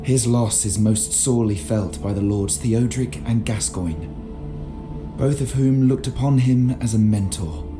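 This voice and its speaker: An actor playing a solemn medieval chronicler narrating a game's campaign journal in a grave, measured way. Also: male voice, fairly low pitch, close to an everyday voice. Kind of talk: scripted dramatic game narration. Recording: music behind narration.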